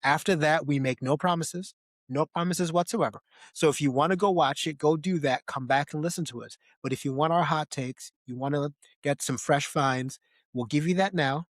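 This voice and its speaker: A man's voice: on the high side, normal speed, dry recording, clean studio sound. A man hosting a casual film discussion podcast, speaking emphatically and repeating phrases for effect. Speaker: podcast host.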